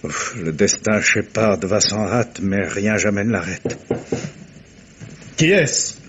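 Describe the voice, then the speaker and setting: A tormented, proud gentleman in an old French radio mystery. His low voice is solemn and declamatory, full of theatrical anguish, with faint noise from the vintage recording. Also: slow. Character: anguished, stubborn wealthy gentleman confiding a dark secret.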